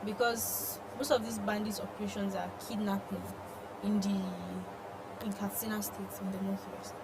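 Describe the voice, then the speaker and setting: A woman's voice: medium pitch, little pitch movement, moderate pace. A woman with a Nigerian accent co-hosting a conversational discussion of the news. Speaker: talk show co-host discussing the news. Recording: noisy room ambience.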